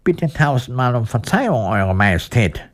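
A man's voice: mid-range voice, very animated, pitched above the performer's own voice, close to an everyday voice. A man voicing a kindly, humble iron woodcutter with an earnest, courteous manner for a storybook. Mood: apologetic. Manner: earnest, modest, deliberate.

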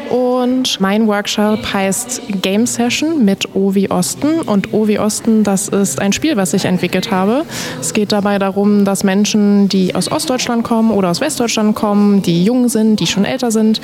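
A woman from Rostock, a workshop leader, clearly explaining her game project. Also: medium pitch, a little mellow. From Rostock, northeast Germany.

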